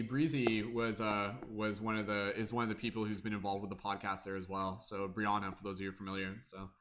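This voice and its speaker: A man's voice: mid-range voice, fairly bright tone, slight background noise. A young man hosting a casual tarot livestream, rambling with many fillers and humming to himself between comments.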